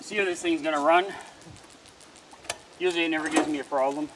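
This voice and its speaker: A man's voice: fairly high pitch, steady tone, a little fast, very noisy. A man in his forties, a homesteading vlogger, chatting casually to the camera outdoors in a rural yard. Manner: easygoing, plain-spoken, addresses viewers as folks.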